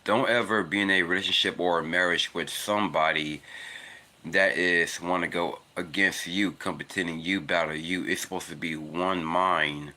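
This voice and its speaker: A man's voice: fairly even intonation, moderate pace, sharp tone. A man in his twenties talking casually and earnestly about faith, rambling and repeating himself while out on a walk. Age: 20s. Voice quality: naturally deep voice. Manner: rambling, earnest, repetitive "it's because". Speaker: vlogger. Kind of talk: casual spiritual monologue. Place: outdoors, walking in cold weather.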